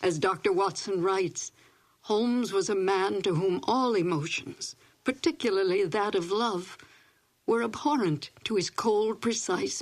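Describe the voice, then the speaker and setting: An older woman presenting in formal, measured scripted narration. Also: medium pitch. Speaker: presenter introducing classic radio episodes.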